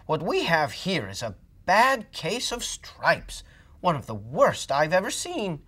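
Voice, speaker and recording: A man voicing a plump, sweet old woman healer in a storybook, speaking gently and brightly. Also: fairly high pitch, huge pitch swings, slow pace, voice pushed up, full character voice. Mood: kindly.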